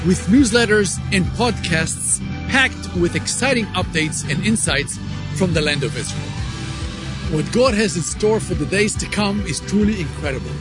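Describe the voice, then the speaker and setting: Earnest high-voiced man over music. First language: Hebrew. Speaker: ministry leader in a promotional video. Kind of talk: scripted appeal for a ministry. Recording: music bed under the voice.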